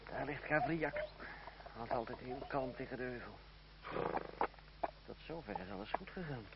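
An actor playing a bold, proud and ironic young fugitive in a radio play, with courtly, theatrical diction. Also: male voice, mid-range voice, expressive, moderate pace, close to an everyday voice. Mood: musing to himself. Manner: courtly, wry, declamatory. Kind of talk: old Dutch radio drama acting, theatrical, formal diction. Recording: dull, noisy old radio play recording.

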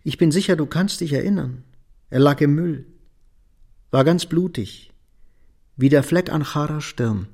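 Husky, weary, resolute middle-aged man. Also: a little high, unhurried, pauses often, darkish tone. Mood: firm, accusing. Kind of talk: German radio drama acting.

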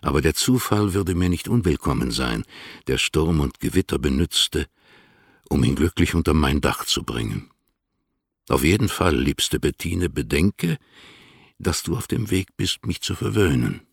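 An actor playing an older male poet who reads out tender, formal letters in a measured, gravelly way for a radio drama. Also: a bass voice, lively intonation, no room sound, clean studio sound, full character voice. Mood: tender, restrained.

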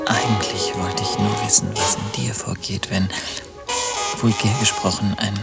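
A man's voice: on the low side, little pitch movement, moderate pace, creaky, over loud music. An actor playing a neurotic, hesitant, cerebral man in a German radio play, speaking in an intimate, stilted intellectual way. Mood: nervous, hesitant.

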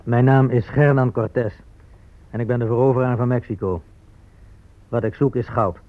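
An actor playing a self-satisfied, gold-hungry Spanish conquistador in a radio drama, speaking in a blunt, matter-of-fact way. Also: male voice, medium pitch, fairly even intonation, moderate pace, natural voice. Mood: matter-of-fact, boastful. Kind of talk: radio drama monologue and dialogue. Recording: muffled phone-like old radio play.

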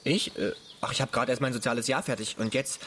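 A man's voice: mid-range voice, fairly even intonation, quick pace, a bit bright, a little hiss or hum, lightly acted. An actor playing an earnest, curious young man who speaks politely and hesitantly in a naturalistic radio play. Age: young adult.

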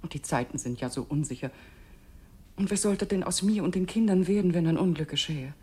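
An actress in a German radio drama playing a governor's anxious, devoted wife, speaking in a breathy, confiding way. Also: female voice, on the low side, brisk, darkish tone. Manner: worried, confiding.

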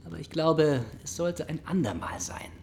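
An actor playing a suave, courteous and teasing gentleman in a German radio play. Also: fairly high pitch, expressive, moderate pace, gravelly, slight background noise. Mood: courteous, discreet. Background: guitar music.

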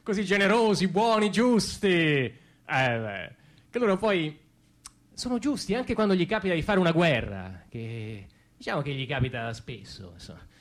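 A man's voice: on the high side, lively intonation, normal speed, close to an everyday voice. A man performing a satirical stage monologue as an ironic, colloquial admirer of America, full of interjections and rhetorical questions. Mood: ironic praise. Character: ironic man gushing over America, mocking stale old Europe.

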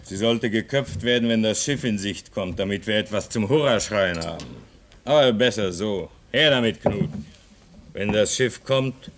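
Guy playing a worn out homesick man up at the pole, brooding, deep slow voice, faint hiss behind. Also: a bit bright, natural voice. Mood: bitter. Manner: brooding, bitter, slow and drawn out. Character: weary homesick man stuck at polar station. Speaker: radio drama actor. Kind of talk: 1950s-style German radio play acting.

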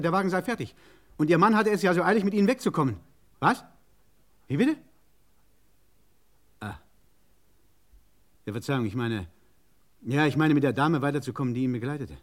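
An actor playing an agitated car mechanic in an old radio crime drama, speaking urgently and insistently in halting, exclaiming phrases. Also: male voice, a little high. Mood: anxious, then embarrassed, awkward. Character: agitated male car mechanic warning a customer's wife. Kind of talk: mid-century German radio crime drama acting.

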